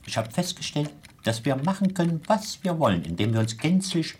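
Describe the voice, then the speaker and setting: A man's voice: a little high, big pitch swings, brisk, a little mellow, slight background noise. An actor in a German radio play playing a courteous, boastful anarchist bomb maker who savours his words with self-satisfaction. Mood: smug, businesslike.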